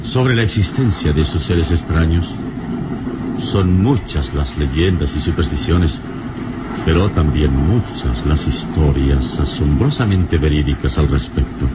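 An actor playing a calm, wise, commanding adventure hero in an old radio serial, solemn and paternal in manner. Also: male voice, very deep voice, slow pace, heavy background noise, full character voice. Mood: grave, pondering.

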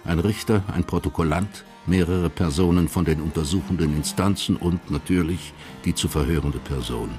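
A man's voice: low voice, normal speed, hardly any pauses, darkish tone, lightly acted. A man as the sober, detached narrator of a radio crime play, giving scripted, factual narration. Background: guitar music.

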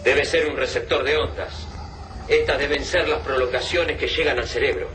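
A man's voice: mid-range voice, steady tone, normal speed, natural voice. A man acting a cautious, clever fighter who soberly analyses alien invaders in a radio play. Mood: grave, cautious. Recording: noisy radio drama scene.

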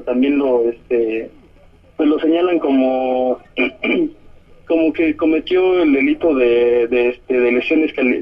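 A man from Oaxaca with a southern Mexican accent gives a halting spoken testimony by telephone, often adding short tag questions. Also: mid-range voice. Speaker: detained community activist giving a statement. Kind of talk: spoken testimony by phone to a press conference. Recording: muffled, narrow-band telephone line.

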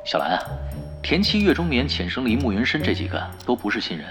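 懒散狡黠的男玩家，语调起伏大. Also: male voice, medium pitch, wildly swooping pitch, fast. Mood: casual. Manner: casual, nonchalant, needling. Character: laid-back, sly, teasing veteran male online gamer. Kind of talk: audio drama acting, conversational. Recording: music bed under dialogue.

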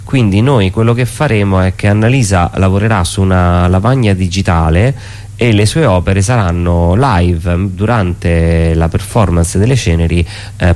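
A man explaining his performance piece informally as a guest, in a halting way with frequent self-corrections. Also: deep voice, darkish tone. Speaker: performance artist, interview guest. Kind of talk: informal spoken presentation of own show. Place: radio studio.

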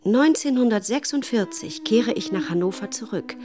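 An actress playing a sober, grave widow who narrates her own story in a measured way in a radio play. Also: a little high, expressive, music in the background, natural voice. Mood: grave, reflective. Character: sober widow recounting exile and survival. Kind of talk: scripted first-person radio drama narration.

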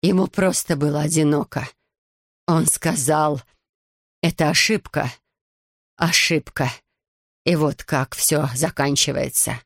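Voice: medium pitch, lively intonation, brisk, no echo, clean studio sound, lightly acted. A narrator voicing a defiant, cornered female agent in a dramatic audiobook thriller. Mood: weak, confessing. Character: defiant, cornered woman agent, later gasping and dying.

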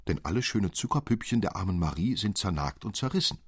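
Man reading as brisk, exasperated mother. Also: deep voice, animated, slightly rough, dry recording, clean studio sound. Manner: exclaiming, emphatic.

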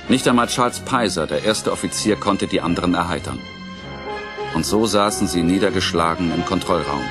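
A man narrating a radio play with solemn, dramatic and deliberate storytelling. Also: deep voice.

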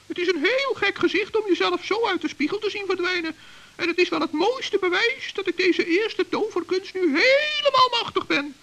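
A man playing a small, proud, excitable forest gnome in a children's radio play, chatty and self-important, with a squeaky voice. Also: normal speed, heavily acted. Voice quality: high, creaky, squeaky. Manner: chatty, self-important, stammers when flustered.

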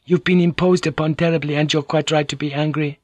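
A man's voice: fairly high pitch, fairly even intonation, a little fast, no echo, voice pushed up, close to an everyday voice. Brief: male narrator doing a polite, earnest noblewoman in an audiobook, raised and lilting, dry close sound. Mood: soothing. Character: gracious young Japanese noblewoman interpreter, anxious. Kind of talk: audiobook character voice.

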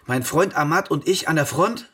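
A man's voice: on the high side, normal speed, a bit bright, husky. An actor playing an evasive, flustered male war reporter in a radio drama, hesitant and mumbling. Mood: hesitant, sheepish.

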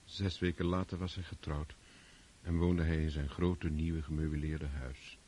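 An actor as a wry, measured storyteller narrating a radio drama, on a hissy old recording. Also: male voice, rumbling low, little pitch movement, mellow. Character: measured, wryly ironic storyteller.

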